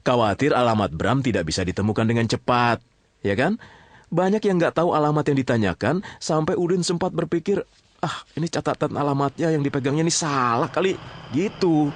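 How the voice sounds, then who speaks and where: A man's voice: mid-range voice, a little fast, dry recording. A man narrating a story in a conversational, colloquial way, often checking in with his listeners. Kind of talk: conversational storytelling in an audio drama.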